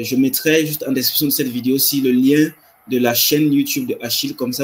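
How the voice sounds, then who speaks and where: A young man hosts a livestream in a medium-pitched voice. He is casual and enthusiastic, often repeating himself to drive a point home, speaking over a webcam call. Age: 20s. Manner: enthusiastic, repeats phrases for emphasis. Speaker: livestream host. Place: indoor room with wood-panelled wall. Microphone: webcam video call.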